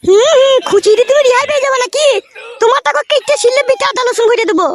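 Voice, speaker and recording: A woman's voice: very high voice, racing. A woman voicing a stern, threatening older mother-in-law in a comedy cartoon, reproachful. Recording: very noisy, clicking audio.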